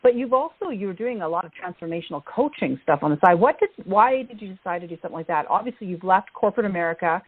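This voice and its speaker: A woman interviewer with a slightly low voice on a thin, phone-like line. She hosts conversationally, emphatic and full of affirmation, in a dry sound without room echo. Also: lively intonation, fast talker, hardly any pauses, breathy edge. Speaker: podcast host, interviewer.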